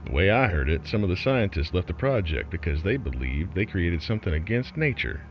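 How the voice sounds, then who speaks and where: A man's voice: low-pitched, big pitch swings, brisk, lo-fi sound, soft background music. A man playing a wry, folksy underground broadcaster who tells an eerie tale in a sardonic, conspiratorial way. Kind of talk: scripted horror storytelling as a broadcast.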